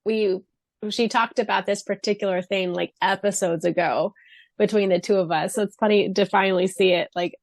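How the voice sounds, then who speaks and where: A woman's voice: medium pitch, steady tone, normal speed, dry recording. A woman co-hosting a fan podcast, chatting casually and reading aloud. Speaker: podcast co-host.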